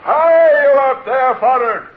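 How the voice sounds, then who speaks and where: An actor playing a gruff, obedient naval petty officer who bawls at the men in an old-time radio drama. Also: male voice, extremely high pitch, takes it slowly, narrow, tinny audio, slight background noise. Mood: bawling. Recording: thin, echoey vintage radio recording.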